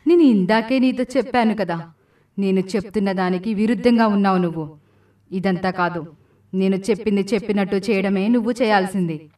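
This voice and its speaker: A woman's voice: medium pitch, a little fast, a little mellow, distant mic, close to an everyday voice. A woman voicing a cursed demoness from a storybook tale, with a commanding, dramatic delivery. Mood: menacing, demanding. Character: cursed watermelon demoness demanding marriage, menacing then remorseful.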